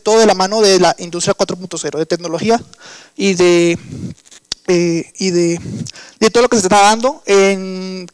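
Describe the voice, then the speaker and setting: High-voiced man with a coastal Colombian accent. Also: smooth, no echo, low-quality recording. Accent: Colombian Caribbean coastal Spanish. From Colombian Caribbean coast. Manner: explanatory, with frequent fillers and restarts. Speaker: workshop instructor. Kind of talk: online lecture.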